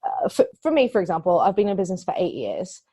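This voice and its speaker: A woman's voice: lowish voice, sing-song, fast, mellow, husky, dry recording, clean studio sound. A woman from New Zealand with a New Zealand accent, a marketing agency owner talking earnestly as a podcast guest and thinking aloud with fillers. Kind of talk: podcast interview.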